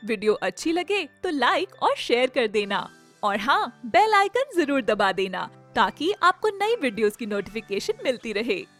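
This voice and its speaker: Woman narrator for a moral story, highish voice, scripted read, light music behind. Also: big pitch swings, moderate pace, a bit bright.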